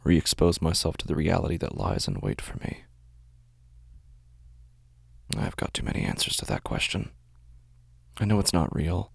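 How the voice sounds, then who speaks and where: A man playing a weary, anxious shut-in, narrating a horror audio drama in a halting, hushed, introspective way. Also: rumbling low, big pitch swings, vocal fry, breathy edge, dry recording, full character voice. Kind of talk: first-person horror audio drama monologue.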